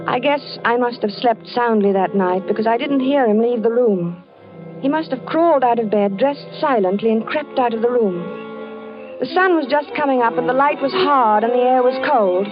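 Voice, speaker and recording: A woman's voice: a little high, normal speed, natural voice. An actress playing a worried wife who narrates her story in a 1940s radio drama, earnest and anxious. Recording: muffled old radio broadcast with music.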